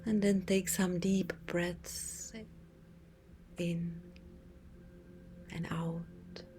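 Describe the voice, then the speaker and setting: A woman speaks in a soft, breathy half-whisper, soothing and unhurried with long pauses, as a yoga teacher leading a guided relaxation meditation. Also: lowish voice, little pitch movement, a little hiss or hum. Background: music.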